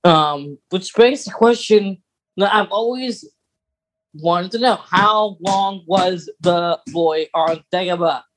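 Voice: medium pitch, slow pace, a bit bright, rough recording. Geeky podcast co-host chatting casually. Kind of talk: casual fan chat about film lore.

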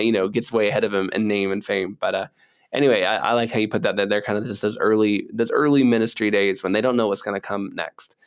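Low-pitched male podcast co-host. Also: smooth. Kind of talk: casual conversational explaining.

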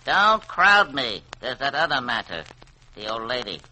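A hard-boiled crime boss from an old radio drama. His voice is very bright and cutting, and he talks in clipped, ungrammatical slang with menace. A faint layer of vintage recording noise sits around him. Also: medium pitch, big pitch swings, unhurried, heavily acted, low-quality recording. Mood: menacing. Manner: slangy, clipped, threatening tough-guy talk. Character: tough male gangster boss, menacing, ungrammatical. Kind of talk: old-time radio detective drama acting.

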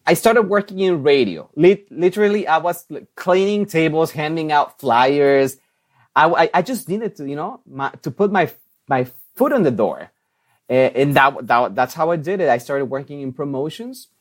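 Upbeat man, chatty and quick to laugh. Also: a little high, expressive. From Mexico. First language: Spanish. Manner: chatty, upbeat, laughs often, many restarts and fillers. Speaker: TV and radio host, guest. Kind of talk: interview answers.

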